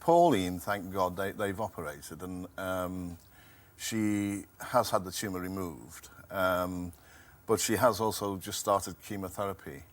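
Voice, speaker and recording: A man in his fifties from Liverpool, a game show contestant, talking hesitantly and softly with many pauses. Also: deep voice. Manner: hesitant, soft-spoken, many ums. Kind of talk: casual chat. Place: TV studio.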